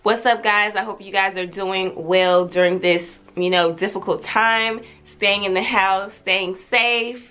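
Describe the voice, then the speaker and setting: A woman's voice: medium pitch, steady tone, measured pace, hardly any pauses, sharp tone, smooth, faint music behind. A young woman hosting her own channel, talking casually with a stuffy nose and repeating words for emphasis. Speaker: channel host. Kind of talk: casual vlog chat.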